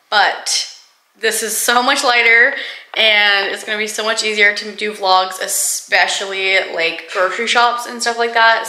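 A young woman vlogging to a handheld camera at home in a chatty, excited way, laughing now and then. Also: medium pitch, echoey room. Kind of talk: casual vlog talk to camera. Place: home living room and kitchen, indoors. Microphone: handheld vlogging camera at arm's length.

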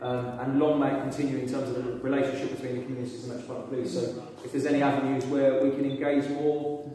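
A man's voice: mid-range voice, little pitch movement, racing, dark tone. A man, a police sergeant, earnestly introducing himself and his work at a community meeting, filled with hesitant ums. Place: large echoey meeting room. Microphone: phone camera from across the room. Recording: echoey room recorded from far off.

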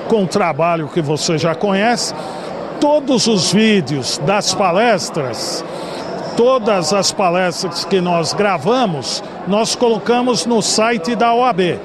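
A man in his forties with a São Paulo Brazilian accent, answering interview questions in a formal, measured way. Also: high-pitched, expressive. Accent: Brazilian Portuguese, São Paulo. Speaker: bar association culture director, guest. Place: large indoor ballroom party. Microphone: handheld mic held by interviewer. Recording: noisy ballroom.

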